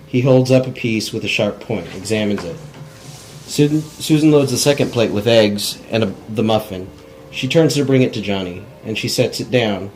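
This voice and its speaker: A man's voice: fairly low pitch, darkish tone, slight background noise, low-quality recording. A man narrating script directions in a plain, unpolished cold read, stumbling now and then. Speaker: narrator reading stage directions.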